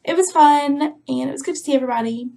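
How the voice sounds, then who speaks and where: A woman vlogger chatting casually to her viewers, rambling with lots of fillers, her voice a little rough from a recent sore throat. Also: sits high, quick pace, mellow. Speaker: crafting vlogger. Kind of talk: casual chat to camera.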